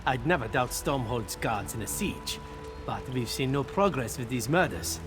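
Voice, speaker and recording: An actor playing a blunt, weary warrior turned town official in a fantasy video game, dry and officious. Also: male voice, mid-range voice, animated, moderate pace, over music, lightly acted. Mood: wry, businesslike.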